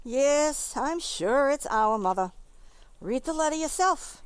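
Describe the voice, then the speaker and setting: A fretful grown daughter in comic radio theater, played by a woman. Her voice is high and very animated, full of exasperated exclamations, recorded close and dry. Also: slow, no room sound. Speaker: actor in community theater.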